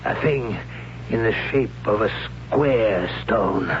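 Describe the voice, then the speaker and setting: Slow, halting, awed primitive man. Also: fairly low pitch. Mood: awed, reluctant. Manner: halting, solemn, hushed dread. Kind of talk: 1970s radio drama acting. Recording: dull, noisy 1970s radio recording.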